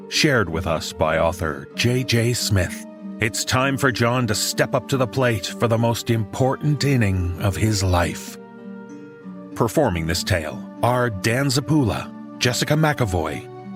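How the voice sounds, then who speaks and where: A man hosting a horror story podcast, reading a scripted introduction in a measured, theatrical manner. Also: medium pitch, lively intonation. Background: music.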